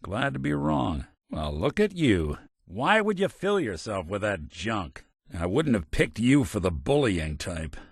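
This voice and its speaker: Hardboiled robot private eye for a game: low, unhurried, dryly wisecracking, kind underneath. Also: male voice, very animated, vocal fry, slightly rough, no room sound, full character voice. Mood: wry, kindly. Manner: dry, wisecracking, old-fashioned gumshoe slang. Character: world-weary wry synth detective, male, hardboiled but kind. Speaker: video game voice actor. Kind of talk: game character voice lines.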